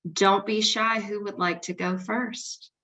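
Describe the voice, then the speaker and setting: A woman's voice: medium pitch, steady tone, slow, sharp tone. A woman hosting an online meeting, upbeat and coaxing people to speak up. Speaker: meeting host. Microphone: video call. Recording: dull lo-fi call audio.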